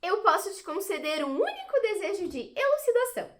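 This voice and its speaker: A woman plays a bubbly little fairy in an audio drama. The voice is high and very lively, sing-song and theatrical, with a teasing edge. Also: fairly quick. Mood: grand, cheerful. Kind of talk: audio drama stage acting.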